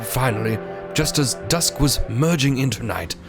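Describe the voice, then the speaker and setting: An actor playing a genial, easily flustered British doctor who narrates the tale. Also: male voice, medium pitch, moderate pace, over music, close to an everyday voice. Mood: storytelling. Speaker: Dr. Watson. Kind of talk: old-time radio drama narration.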